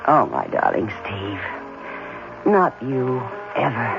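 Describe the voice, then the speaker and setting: A woman's voice: extremely low pitch, sing-song, slow, vocal fry, heavily acted. A woman acting in an old-time radio drama, playing a devoted, romantic young fiancée with a theatrical delivery. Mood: adoring. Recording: dull vintage radio recording, music behind.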